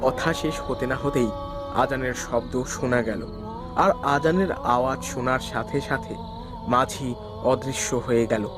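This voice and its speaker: A man's voice: mid-range voice, moderate pace, over music. A young man narrating a cartoon ghost story in the first person. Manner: terrified pleading in the scene, calm when narrating.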